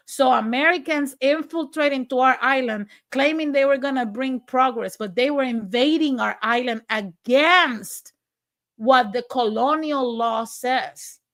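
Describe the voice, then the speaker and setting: A woman's voice: high-pitched, fairly bright tone. A woman from Puerto Rico with a Puerto Rican accent, hosting a livestream and giving blunt, impassioned political commentary as she reads viewer comments. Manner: impassioned and blunt, with sarcasm and occasional profanity. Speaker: livestream host.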